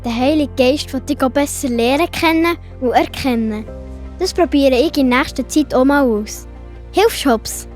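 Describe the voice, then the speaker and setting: A woman's voice: sits high, moderate pace, a little mellow, soft background music, lightly acted. A woman playing a cheerful, curious young animal friend in a children's audio story, with a Swiss German accent and a playful, childlike manner. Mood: eager.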